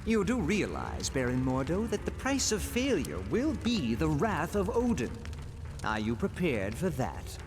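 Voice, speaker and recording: An actor playing a haughty, scheming trickster god in a superhero game, with theatrical, archaic phrasing. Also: male voice, fairly high pitch, wildly swooping pitch, unhurried, over music. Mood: taunting. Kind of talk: villain acting in a superhero game.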